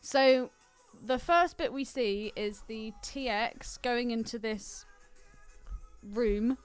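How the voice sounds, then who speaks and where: A woman's voice: on the high side, slow pace, smooth. A woman in casual film podcast banter, playful and frank. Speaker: podcast co-host. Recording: music playing behind the talk. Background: film soundtrack music.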